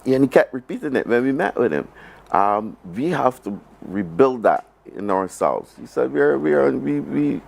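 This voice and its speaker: A man with a Barbadian accent answering as an interview guest, speaking haltingly and repeating phrases for emphasis. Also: medium pitch, very animated, gravelly, darkish tone. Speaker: government program advisor, interview guest. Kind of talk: live broadcast interview.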